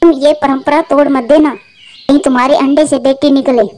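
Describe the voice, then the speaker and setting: A woman's voice: high-pitched, fairly even intonation, fairly quick, a little mellow, lightly acted. Woman as old mother-in-law bird, cartoon, domineering, spiteful, threatening manner. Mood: stern. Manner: bossy, threatening. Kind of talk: Hindi cartoon voice acting.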